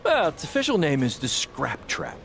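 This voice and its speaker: An actor playing an excitable, fast-talking wrestling promoter with a salesman's manner in a video game cutscene. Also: fairly high pitch, full character voice. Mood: proud, upbeat. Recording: clear background noise.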